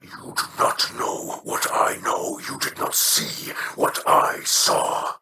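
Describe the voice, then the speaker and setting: An actor playing a proud, brooding alien pirate lord in a video game, with a gravelly voice. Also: male voice, slow, reverberant, recorded from a distance, noisy background, natural voice.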